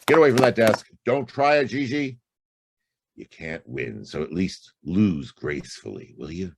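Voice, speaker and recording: Man acting a very deep, gravelly hard-boiled detective. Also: animated, normal speed, dry recording, full character voice. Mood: firm, weary. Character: world-weary hard-boiled private detective, adult man. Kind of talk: noir radio drama acting and first-person narration.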